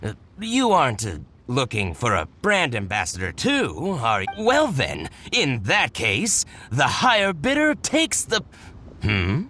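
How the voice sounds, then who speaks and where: A man's voice: mid-range voice, wildly swooping pitch, measured pace, bright, forceful tone, soft background music, exaggerated voice. A man voicing a shrewd, possessive, self-interested merchant in a video game, with a fussy, dramatic, haggling manner. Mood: flustered, suspicious. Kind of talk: video game character voice acting.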